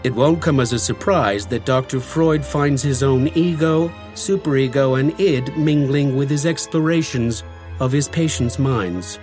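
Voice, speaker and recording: A man narrating an audio drama from a script in a measured, formal storytelling manner. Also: mid-range voice, darkish tone, faint music behind.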